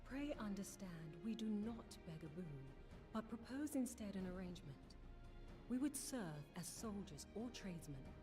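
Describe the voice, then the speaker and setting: A woman's voice: medium pitch, animated, normal speed, music in the background, close to an everyday voice. An actress playing a dignified, humble exiled noblewoman in a fantasy game, speaking formally and with deference. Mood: earnest pleading. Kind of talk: scripted fantasy game acting.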